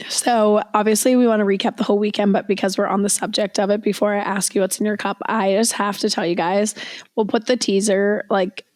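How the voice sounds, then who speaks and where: A young woman co-hosting a podcast, hoarse and raspy from losing her voice, chatting casually with lots of "like" fillers. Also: medium pitch, flat delivery, brisk, darkish tone, no room sound, clean studio sound. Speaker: podcast co-host. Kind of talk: casual chat.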